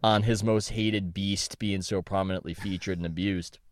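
A man's voice: low voice, fairly even intonation, normal speed, no room sound, studio quality. A man co-hosting a podcast, chatting casually with wry, joking asides. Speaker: podcast co-host. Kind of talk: casual comedic chat.

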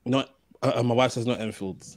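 A man's voice: mid-range voice, brisk, no echo. A man chatting casually, a little hesitant and repeating his words. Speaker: podcast co-host. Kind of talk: casual chat.

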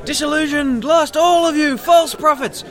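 A man's voice: very high voice, fairly even intonation, normal speed, a faint crowd. A man voicing a creepy, fanatical scientist ranting frantically and repetitively in an audio drama. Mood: fanatical raving. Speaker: amateur audio drama actor.

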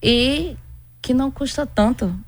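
A woman's voice: sits high, unhurried. A woman doctor from Pernambuco with a Northeastern Brazilian accent, explaining things conversationally with everyday examples as an interview guest.

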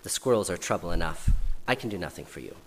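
A man's voice: low voice, animated, fast talker, a bit bright. A man doing a curt, haughty birch tree. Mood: dismissive. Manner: curt and dismissive. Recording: reverberant hall, faint noise.

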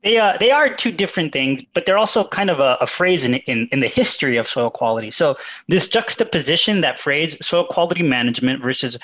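Man, researcher guest, higher-pitched, measured and explanatory. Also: lively intonation, a bit bright, rough recording. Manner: measured, explanatory, with fillers and restarts. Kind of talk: academic interview answers.

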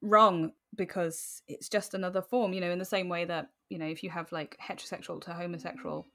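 A British woman talking casually as an interview guest, animated and self-deprecating, often acting out bits of imagined dialogue. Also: on the low side, fairly quick. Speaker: podcast guest.

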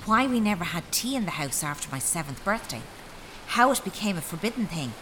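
A woman's voice: lowish voice, sing-song, a little fast, fairly bright tone, slight background noise, low-quality recording. A young woman playing an intense, reproachful, wounded daughter in a dramatic audio play. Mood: accusing. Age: young adult.

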